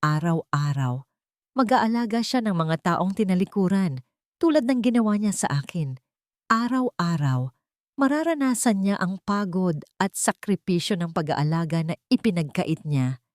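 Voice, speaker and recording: Woman voicing a firm, deliberate elderly grandmother. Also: on the low side, expressive, a little mellow, dry recording, studio quality, lightly acted. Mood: stern, solemn. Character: wronged elderly grandmother, firm, stern but merciful.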